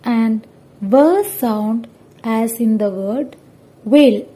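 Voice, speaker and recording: A young woman, a student presenter, reading out a scripted lesson in a careful, halting, word-by-word manner. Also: a little high, mellow, breathy edge. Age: young adult.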